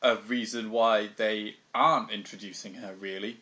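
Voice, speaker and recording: A man's voice: fairly low pitch, steady tone, normal speed, pushed and bright, highs cut off. A British man co-hosting a podcast, talking in a dry, wry and rambling way with lots of fillers. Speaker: podcast co-host. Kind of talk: casual anime discussion.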